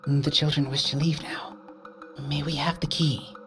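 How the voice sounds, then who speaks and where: A man voicing a gentle male wind sprite familiar, speaking in a soft, breathy whisper. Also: on the high side, flat delivery, moderate pace, music in the background, voice pushed up, lightly acted. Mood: solemn.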